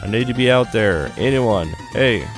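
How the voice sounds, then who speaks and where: A man's voice: fairly low pitch, animated, normal speed, over music, voice pushed up, natural voice. A man voicing a trapped rookie mech pilot, pleading urgently to be launched in a sci-fi game. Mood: desperate.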